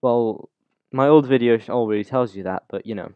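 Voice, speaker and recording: A man hosting a casual, unscripted software tutorial, talking through the steps informally as he goes. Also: mid-range voice, a little fast, clean tone, no room sound, highs cut off. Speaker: YouTube tutorial host.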